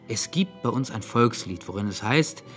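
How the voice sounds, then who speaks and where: An earnest, lovesick young gentleman played by a male actor in a radio drama. His voice sits at a middle pitch, and he muses at length in a phlegmatic yet pleading way. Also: lively intonation, moderate pace, faint music behind, natural voice. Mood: musing, plaintive.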